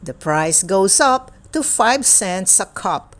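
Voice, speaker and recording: A woman reading a picture book aloud for young children in a deliberate, playful way, in short separated phrases. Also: medium pitch, animated. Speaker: children's storybook reader.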